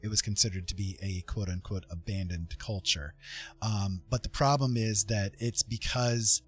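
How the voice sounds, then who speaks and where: A middle-aged American man chatting reflectively on a livestream, speaking earnestly and emphatically with halting pauses. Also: on the low side, fairly even intonation, fairly bright tone, faint music behind. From Indiana, United States. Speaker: livestream host. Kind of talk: casual reflective livestream chat.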